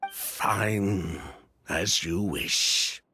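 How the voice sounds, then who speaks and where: An actor playing a smooth-talking, guarded merchant in a video game, speaking with formal, condescending politeness. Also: male voice, low voice, bright, forceful tone, exaggerated voice. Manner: formal, measured politeness with veiled threat.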